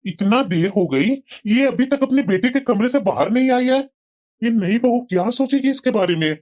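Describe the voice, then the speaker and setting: A woman's voice: on the high side, animated, moderate pace, lo-fi sound, natural voice. A woman voicing a grumbling but sensible elderly husband who scolds and reasons in a family cartoon. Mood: impatient. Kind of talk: Hindi family cartoon voice acting.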